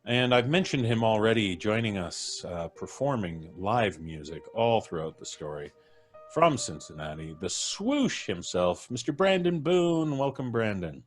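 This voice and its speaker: A man's voice: fairly low pitch, expressive, unhurried, soft background music. A man with a North American accent hosting a casual live stream with jovial, playful banter. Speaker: host.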